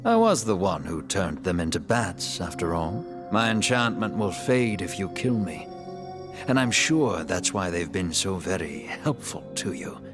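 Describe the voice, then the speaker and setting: A man playing an ancient, sorrowful dark elf king in a video game, sly and bitter, with a theatrical, teasing, measured delivery. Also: deep voice, big pitch swings, over music. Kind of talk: video game cutscene acting.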